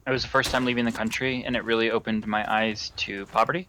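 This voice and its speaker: A man's voice: lowish voice, little pitch movement, fast, sharp tone, a little hiss or hum, poor audio. A young American man chatting casually while playing a game with a friend. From United States. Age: young adult. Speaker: co-player in a gaming video. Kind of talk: casual chat while gaming.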